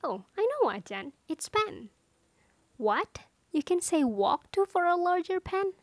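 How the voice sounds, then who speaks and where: A woman's voice: very high-pitched, sing-song, unhurried, no echo. A woman who speaks English as a second language, giving casual advice in a playful, self-deprecating, chatty way. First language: not English. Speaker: content creator giving language-learning advice. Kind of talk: casual monologue to listeners.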